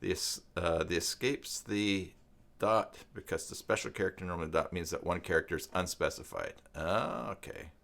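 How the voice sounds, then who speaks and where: Canadian male live stream host, lowish gravelly voice, informal tutorial chat with musing, stop-and-start delivery. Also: fairly bright tone. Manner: halting, musing, reads aloud and mutters to himself. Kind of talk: casual live tutorial chat, thinking aloud.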